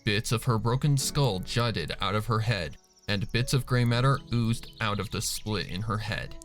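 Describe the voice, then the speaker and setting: A man's voice: on the low side, moderate pace, fairly bright tone. A man narrating a piece of fan fiction as a dramatic scripted reading. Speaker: story narrator. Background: faint music.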